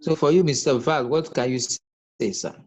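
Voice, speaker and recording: A man's voice: mid-range voice, moderate pace, darkish tone. A man moderating a webinar panel, polite and formal, addressing guests as sir.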